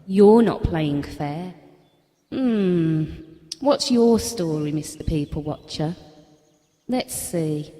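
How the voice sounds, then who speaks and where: Actress as wry, guarded woman, very animated delivery. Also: sits low, slow pace, pauses often. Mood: teasing, probing. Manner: wry, probing. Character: guarded, teasing woman stood up on a date. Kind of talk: short audio play dialogue. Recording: long hall-like reverb.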